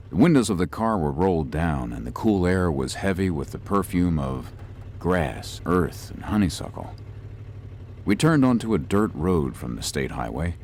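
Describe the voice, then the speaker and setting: A man voicing a deep-voiced young city lawyer. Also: darkish tone, a little hiss or hum. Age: young adult. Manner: measured, descriptive, slightly formal. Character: young male city lawyer, convalescing, composed. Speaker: narrator and lead. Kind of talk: first-person audio drama narration.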